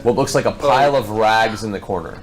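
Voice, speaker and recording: A man narrating a tabletop game as game master, describing scenes in improvised, halting phrases. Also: lowish voice, a loud crowd.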